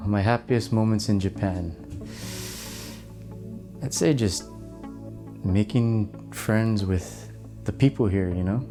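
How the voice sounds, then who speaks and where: A man answering interview questions in a halting, reflective way. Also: on the low side. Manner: halting, reflective, many "you know"s. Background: guitar music.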